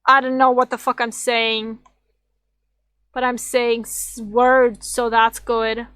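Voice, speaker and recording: A woman streamer with a slightly raspy voice, rambling and thinking aloud as she draws on a casual livestream. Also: on the high side, little pitch movement, takes it slowly, a bit bright, no echo. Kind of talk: casual drawing livestream chat.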